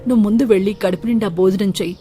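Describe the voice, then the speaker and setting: A woman's voice: medium pitch, fairly even intonation, very fast, mellow, soft background music, natural voice. A woman voicing a kind, humble young man in a storybook tale, earnest and reassuring. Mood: kindly, reassuring. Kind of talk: storybook character acting.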